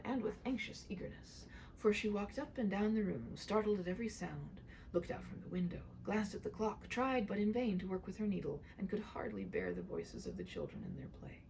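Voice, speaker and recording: Expressive woman reading a classic story aloud. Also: mid-range voice, creaky, a little hiss or hum, poor audio. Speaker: amateur audiobook narrator. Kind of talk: dramatic storybook read-aloud.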